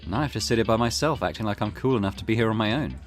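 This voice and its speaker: Casting: male gaming host with a lower, expressive voice, exasperated and wry, chatting over game music. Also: very fast. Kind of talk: casual game commentary and reading narration aloud.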